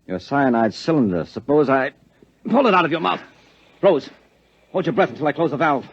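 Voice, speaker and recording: An actor playing a secretive, suspicious husband in a 1950s American radio drama, speaking in a curt, controlled, threatening way. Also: male voice, a little high, sing-song, a little fast, breathy edge, full character voice. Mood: cold menace. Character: menacing, secretive husband interrogating with cold suspicion. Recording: muffled vintage radio recording.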